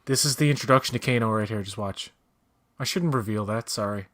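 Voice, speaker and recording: A man's voice: on the low side, a little fast, fairly bright tone. A man chatting casually during a movie watch-along, blunt and opinionated, swearing freely. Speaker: guest co-watcher.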